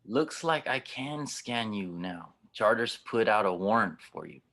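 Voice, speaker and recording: A man playing a gruff, cynical cyborg spaceship skipper who talks wryly and probingly in an audio drama table read. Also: fairly low pitch, expressive, unhurried, a bit bright. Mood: surprised.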